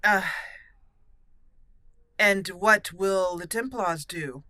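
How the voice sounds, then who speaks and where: A woman voicing an earnest elf mage commander in improvised game roleplay, with a formal, deliberate, halting manner. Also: medium pitch, pushed and bright, no echo. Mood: solemn, urgent.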